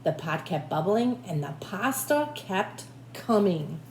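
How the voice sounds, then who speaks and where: A woman teacher reading a picture book aloud in an animated way, with asides to young listeners. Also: lowish voice, slow pace. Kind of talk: expressive children's read-aloud with asides to listeners. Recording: echoey room with faint background noise.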